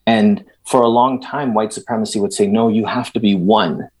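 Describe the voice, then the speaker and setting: Salvadoran-born guy, middle aged, kinda husky low voice, animated, lots of restarts. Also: moderate pace. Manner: animated, many restarts, emphatic asides. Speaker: guest. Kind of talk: conversational interview.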